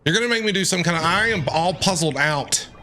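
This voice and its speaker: A man's voice: high voice, brisk, pushed and bright. A man streaming a video game with casual, jokey, exclamatory commentary, often repeating his phrases. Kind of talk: casual reactive gameplay commentary.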